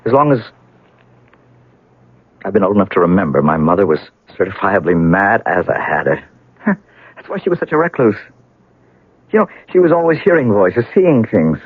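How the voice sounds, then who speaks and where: An actor playing a grieving, wry and bitter heir to a wealthy house in a 1970s radio drama, speaking haltingly. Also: male voice, fairly low pitch, husky, dry recording, heavily acted. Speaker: actor in a radio mystery play. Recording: narrowband old radio broadcast, dry.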